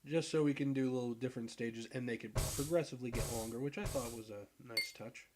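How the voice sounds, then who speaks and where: A man with a deep voice, hoarse from a cold, giving relaxed, rambling commentary while playing a puzzle game. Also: brisk, noisy background. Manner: relaxed, rambling, clears throat and laughs at himself. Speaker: gaming video creator. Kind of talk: casual gameplay commentary.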